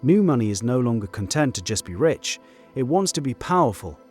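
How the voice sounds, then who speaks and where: A man delivering scripted video-essay narration in measured, rhetorical short phrases. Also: mid-range voice, big pitch swings, a little mellow, breathy edge. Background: background music.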